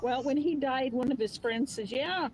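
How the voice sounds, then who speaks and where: A woman hosting a live craft stream, reading viewer comments aloud in a brisk, casual way. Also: high voice, darkish tone, slight background noise, low-quality recording. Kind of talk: casual chat.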